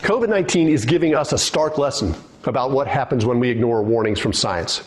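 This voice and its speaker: A man giving a steady, rallying scripted address to open a webinar. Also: medium pitch, fairly quick. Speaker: speaker in a recorded webinar intro.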